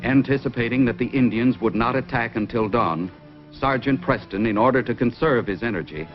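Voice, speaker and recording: A man narrating an old-time radio adventure in a measured, dramatic storytelling manner. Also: medium pitch, dull audio. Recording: old band-limited radio recording, music. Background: dramatic music.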